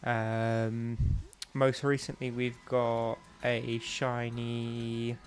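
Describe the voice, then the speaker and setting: A man's voice: mid-range voice, completely flat delivery, extremely slow, soft background music. A young man with a stuffy, bunged-up nose, chatting casually and rambling with lots of ums while he streams a game. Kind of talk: casual live-stream chat while playing.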